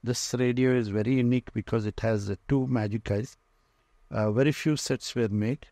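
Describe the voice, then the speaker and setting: A man with an Indian accent giving an informal guided tour as a museum owner, speaking haltingly with frequent pauses. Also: mid-range voice, steady tone, dark tone, dry recording. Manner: halting, pausing often mid-phrase. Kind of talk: informal narrated walk-through tour. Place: indoor museum and workshop room full of shelves.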